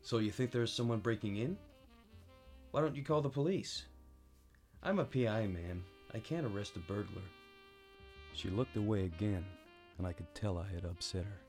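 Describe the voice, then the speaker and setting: World-weary private eye, played by a man, deep, mellow, dry and brooding, noir radio drama, music behind. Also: moderate pace, long pauses, close to an everyday voice. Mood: wry, guarded, then wry. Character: world-weary hardboiled male private detective. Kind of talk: noir radio play narration, first person.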